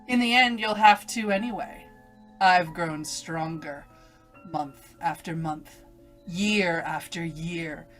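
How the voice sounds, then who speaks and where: A woman playing an evil, domineering inner voice in an old-time radio melodrama, taunting and commanding with menace. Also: lowish voice. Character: evil inner voice, a domineering female alter ego.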